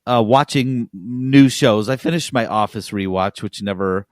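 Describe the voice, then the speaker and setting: A man's voice: lowish voice, unhurried, no room sound, studio quality. A man hosting a podcast in casual chat, speaking with enthusiasm and emphasis. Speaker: podcast host.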